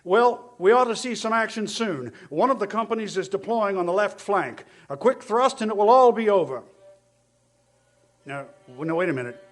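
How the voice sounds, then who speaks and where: An actor playing an army captain giving a field report in a radio drama, with a clipped, official manner. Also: male voice, high-pitched, expressive, moderate pace. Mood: alarmed, excited. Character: confident male army signal corps captain reporting from battlefield. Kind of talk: stage radio drama acting.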